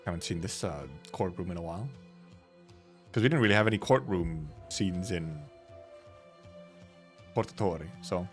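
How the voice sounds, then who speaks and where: A man's voice: deep voice, moderate pace, long pauses, faint music behind. A young man streaming himself reading a game story, making casual, jokey asides and swearing now and then.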